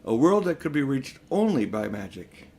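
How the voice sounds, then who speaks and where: A man's voice: mid-range voice, big pitch swings, normal speed, darkish tone, slight background noise. A man voicing a pompous, vain, selfish elderly magician uncle in a storybook reading, with a grandiose, self-important manner. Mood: boastful. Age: older. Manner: grandiose, self-important, indignant when challenged.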